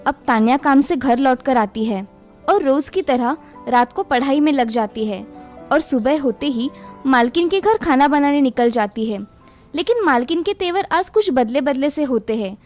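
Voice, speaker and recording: Brief: a woman storybook narrator, high voice, faint music bed. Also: animated, normal speed, darkish tone.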